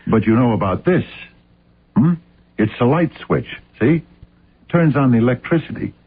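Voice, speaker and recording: An actor playing a hard-nosed, relentless investigator in a radio drama, questioning in a probing, accusatory, clipped way. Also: male voice, mid-range voice, lively intonation, normal speed, husky. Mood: accusing. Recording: muffled narrow-band old radio sound.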